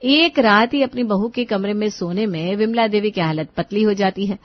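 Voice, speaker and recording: A woman's voice: mid-range voice, normal speed, no echo, dull audio. A woman narrator telling a scripted cartoon family story.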